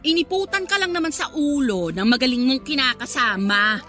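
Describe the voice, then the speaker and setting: A brazen, spiteful other woman with a high, forceful, bright Filipino voice. Her delivery is sassy and taunting radio melodrama, with faint music underneath. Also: animated, fairly quick. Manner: sassy, goading, insolent. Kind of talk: Filipino radio drama acting. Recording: faint music bed behind the voice.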